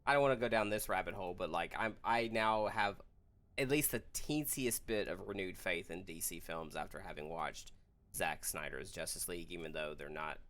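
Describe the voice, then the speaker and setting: Bright-voiced man, lowish pitch. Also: normal speed. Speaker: podcast host steering discussion. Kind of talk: casual video game and movie chat.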